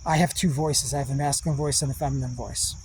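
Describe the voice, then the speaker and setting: A man giving a rambling spiritual monologue in slow, drawn-out, meditative phrases with long pauses. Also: mid-range voice, steady tone, a little mellow, slight background noise. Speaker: spiritual speaker.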